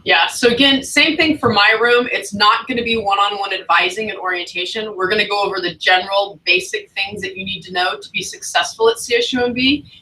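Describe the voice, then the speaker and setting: A woman's voice: mid-range voice, brisk, hardly any pauses, bright, forceful tone, distant mic, low-quality recording. A woman academic advisor talking casually to new students on a livestream, chatty and encouraging. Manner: chatty, encouraging, loose phrasing.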